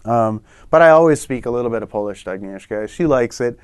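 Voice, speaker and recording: A middle-aged man talking in a relaxed, rambling way, often restarting his sentences, as a guest in an interview-style lesson. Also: mid-range voice, quick pace, clear, resonant tone, no room sound. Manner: relaxed, rambling, self-correcting. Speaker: guest in a language lesson show. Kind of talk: casual interview chat.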